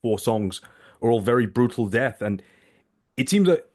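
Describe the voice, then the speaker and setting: A male podcast host asks long, meandering questions in a casual, eager way. His speech is full of "you know" and "like". His voice sits at a mid pitch for a man. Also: lively intonation, moderate pace, a little mellow. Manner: enthusiastic, rambling questions with many fillers.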